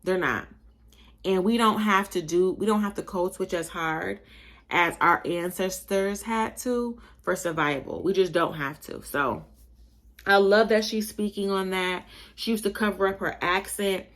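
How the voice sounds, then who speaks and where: A young adult woman from Buffalo, New York, with a slightly Southern-tinged accent, hosting a casual opinion monologue in an animated, emphatic, chatty way and calling her listeners y'all. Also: mid-range voice, a little slow. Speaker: podcast host.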